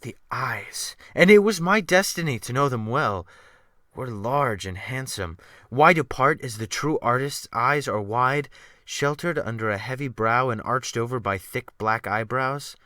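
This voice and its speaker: Bright, forceful male audiobook narrator. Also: medium pitch, lively intonation, a little slow, no room sound, clean studio sound. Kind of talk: dramatic scripted narration of a classic novel. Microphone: close USB desktop microphone.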